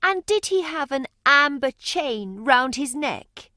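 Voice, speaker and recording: A woman's voice: high voice, animated, takes it slowly, sharp tone, dry recording, studio quality, heavily acted. A woman voicing a poor beggar mother in a storybook audiobook, pleading and tearful. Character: grieving beggar mother, pleading, long-suffering. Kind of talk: audiobook character acting.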